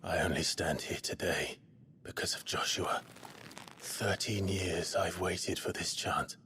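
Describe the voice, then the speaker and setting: A man's voice: sits low, steady tone, bright, forceful tone, a little hiss or hum, exaggerated voice. A man playing a brooding young fugitive soldier in a video game, terse and intense, bent on vengeance. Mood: bitter, resolute. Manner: grim, terse, slow and intense. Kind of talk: cinematic video game acting.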